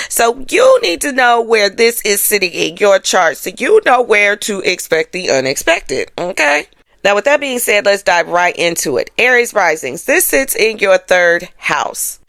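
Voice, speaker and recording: A woman's voice: medium pitch, vocal fry, fairly bright tone, no echo. An American woman giving casual astrology commentary as a show host, speaking in a colloquial, slangy, emphatic way and often checking in with listeners.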